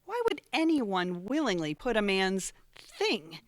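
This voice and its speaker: A woman's voice: mid-range voice, very animated, measured pace, dry recording. An actress in an audio drama playing a prim, self-righteous young mother who scolds her sibling in a prissy, emphatic way. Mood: disgusted, self-righteous. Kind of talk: audio theatre acting.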